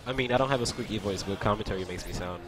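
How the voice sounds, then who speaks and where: A man's voice: fairly low pitch, very fast, a crowd in the background. A young man commentating a video game tournament stream, chatting loosely and laughing between plays. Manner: casual, laughs often, answers chat between plays. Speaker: esports commentator. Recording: loud music behind. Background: game music.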